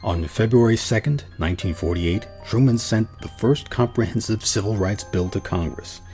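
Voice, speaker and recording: Deep-voiced man, American accent, soft dark tone, scripted history narration, faint music underneath. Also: moderate pace.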